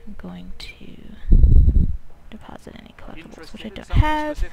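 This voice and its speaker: A woman's voice: mid-range voice, lively intonation, moderate pace, in a whisper, rough recording. A woman giving casual gameplay commentary, thinking aloud informally with her mouth very close to the microphone. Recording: loud noise, breathy mouth-on-mic clicks.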